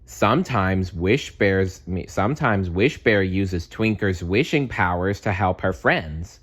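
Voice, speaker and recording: Slow, low-voiced man reading storybooks. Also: lively intonation, a bit bright, slightly rough. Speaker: storybook reader. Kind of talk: read-aloud children's story narration.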